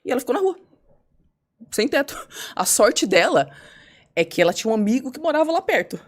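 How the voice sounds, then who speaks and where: A woman's voice: on the high side, sing-song, fairly quick. A woman guest on a podcast, telling a personal story candidly and acting out her own past words.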